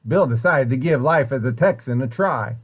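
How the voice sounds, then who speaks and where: A man reading a children's story aloud in an expressive way, with deliberate, dramatic emphasis. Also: medium pitch, breathy edge, muffled recording. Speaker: storybook reader, site director at a children's center.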